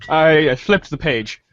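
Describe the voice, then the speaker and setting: A woman's voice: sits low, dry recording, highs cut off. A woman acting in a casual comic table read, hesitant and a bit exasperated, breaking off for asides. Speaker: actor in a reader's theater show.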